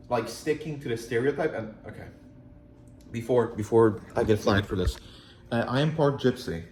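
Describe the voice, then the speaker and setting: A man's voice: medium pitch, a little mellow, a little hiss or hum. Young man with slight Polish accent. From Poland. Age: young adult. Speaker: vlogger. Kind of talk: casual video journal monologue. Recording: echoey room, faint ambience.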